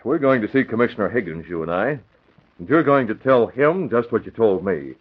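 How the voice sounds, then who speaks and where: Low-voiced young publisher hero. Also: male voice, big pitch swings, a little fast, slightly rough. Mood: authoritative. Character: daring young newspaper publisher, calm and authoritative. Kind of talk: 1940s radio drama acting. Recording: thin, phone-like old radio recording.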